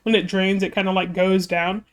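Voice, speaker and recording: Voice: mid-range voice, level tone, normal speed. A streamer reacting to music videos in casual chat, rambling with many fillers, while their voice keeps catching from throat trouble. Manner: rambling and chatty, many fillers and self-interruptions.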